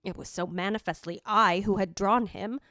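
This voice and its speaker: A woman's voice: medium pitch, fairly even intonation, normal speed, pushed and bright, dry recording, clean studio sound, natural voice. A woman playing the yearning, possessive ghost of a dead wife, narrating formally in old-time radio drama style. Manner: formal, literary, theatrical delivery.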